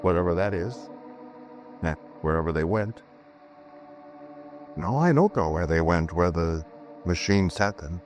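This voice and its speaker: Low-voiced brooding scientist, old radio. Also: male voice, darkish tone, soft background music. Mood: uneasy, brooding. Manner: conversational, halting, brooding. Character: shaken scientist narrating his strange ordeal. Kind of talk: first-person 1940s radio drama monologue. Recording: noisy vintage 1940s radio broadcast.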